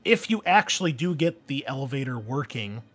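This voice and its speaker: A man hosting as game master, explaining things casually and informally with a halting delivery. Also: mid-range voice, very animated, soft background music. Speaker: game master and podcast host. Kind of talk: casual explanation and chat on an actual-play podcast. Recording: faint background noise.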